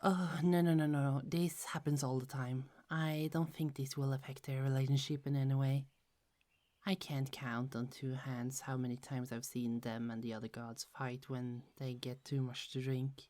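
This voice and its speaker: A woman voicing a gentle, chatty young goddess in an ASMR roleplay, speaking softly with frequent little laughs. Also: rumbling low, flat delivery, moderate pace. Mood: friendly. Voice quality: soft, half-whispered, giggly.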